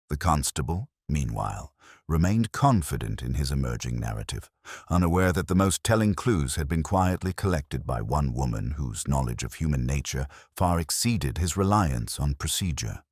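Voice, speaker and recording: A man narrating a scripted mystery story as an audiobook, in a measured, formal storytelling manner. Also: very deep voice, no room sound, clean studio sound.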